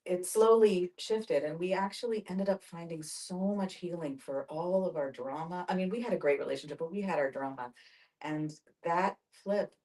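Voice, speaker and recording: A middle-aged woman telling personal experience in a group discussion, reflective and halting as she thinks aloud. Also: lowish voice, dark tone. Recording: distant mic, group discussion.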